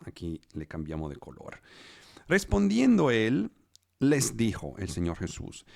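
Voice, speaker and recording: A man teaching a live online Bible study in Spanish, which is not his first language, explaining scripture step by step and pausing on fillers. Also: on the low side, wildly swooping pitch, gravelly, a little mellow, no room sound, clean studio sound. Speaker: preacher.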